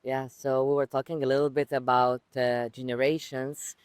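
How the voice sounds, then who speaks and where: A woman from Ukraine, a podcast guest, telling her own story in an earnest, reflective way with some halting restarts. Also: very deep voice, little pitch movement, darkish tone, smooth, dry recording. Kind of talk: personal storytelling in conversation.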